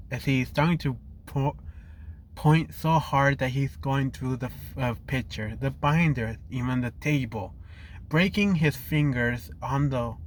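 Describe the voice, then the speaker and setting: Man telling a homemade story, voice going, unhurried and stumbling, faint hiss behind. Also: medium pitch. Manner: halting, frequent restarts and self-corrections. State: losing his voice. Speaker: fan fiction storyteller. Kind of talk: improvised story narration.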